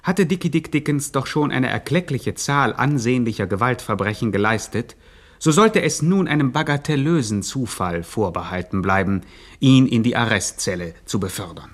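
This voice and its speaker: An actor playing a grandiose narrator, telling a gangster epic in pompous, mock-solemn radio style. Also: male voice, mid-range voice, lively intonation, moderate pace, lightly acted. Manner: pompous, theatrical, ornate.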